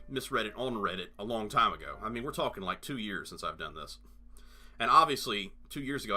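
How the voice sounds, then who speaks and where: Male gaming host, rambling let's-play commentary, very bright voice, lively pitch. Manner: rambling, chatty, full of fillers and asides.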